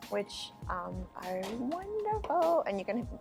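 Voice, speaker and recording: A woman's voice: on the high side, very animated, creaky, over music. Encouraging woman host of a cooking show. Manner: encouraging, quick affirmations and questions. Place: restaurant bar.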